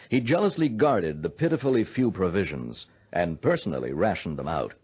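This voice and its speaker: A man narrating a radio drama from a script, in a grave, measured storytelling manner. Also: lowish voice, darkish tone, husky, dull audio.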